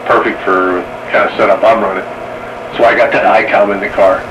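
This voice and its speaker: A man's voice: fairly low pitch, little pitch movement, brisk, muffled recording. A man in a casual technical chat over two-way radio, a hobbyist with a skeptical, matter-of-fact way of talking. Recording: distant, noisy two-way radio audio.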